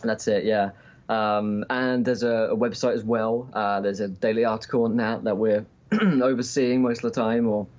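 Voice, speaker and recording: A man chatting casually as a podcast guest and game streamer, with hesitant, halting phrasing, calling in remotely. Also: lowish voice, steady tone, a little mellow. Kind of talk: casual interview chat. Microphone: remote call-in. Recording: dull, lo-fi call audio.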